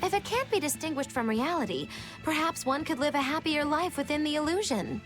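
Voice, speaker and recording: An actress playing a sly, clever, teasing know-it-all in a video game, theatrical and knowing, with music underneath. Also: female voice, high voice, animated, fairly quick. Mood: musing, teasing. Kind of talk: English video game dub acting.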